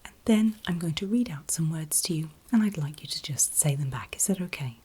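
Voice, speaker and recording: A woman whispering very gently and reassuringly in a breathy, unvoiced ASMR style, as a medical examiner in a roleplay, close to the microphone. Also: sits low, animated, brisk. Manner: gentle, reassuring, checking in often. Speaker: ASMR performer playing an ear examiner.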